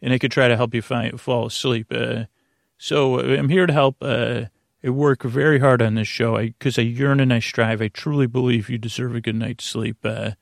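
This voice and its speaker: Middle aged guy doing a sleep podcast, lots of vocal fry, stammers and wanders off on silly tangents, really dry close mic. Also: mid-range voice, fairly even intonation, no room sound. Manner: halting, meandering, with stammered restarts and trailing tangents; self-deprecating, gently absurd humour. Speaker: podcast host. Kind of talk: rambling bedtime sleep-podcast monologue.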